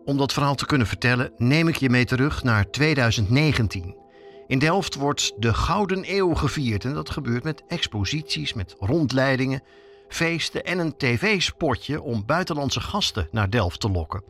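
A Dutch man presents a podcast as its reporter, reading scripted narration at a middling pitch. His intonation is animated and moves freely up and down. Music plays behind his voice. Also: moderate pace. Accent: Netherlands Dutch.

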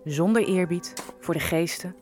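A woman's voice: fairly low pitch, normal speed, a little mellow, soft background music, lightly acted. An actress playing a sorrowful enslaved African woman in a solemn, reflective audio drama monologue. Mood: solemn grief.

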